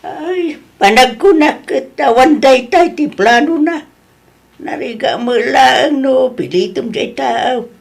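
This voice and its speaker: A woman's voice: high-pitched, lively intonation, takes it slowly, gravelly, heavily acted. A woman acting an older Ilocano mother in a drama, plain-spoken, advising and consoling her grown child. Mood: gentle, resigned.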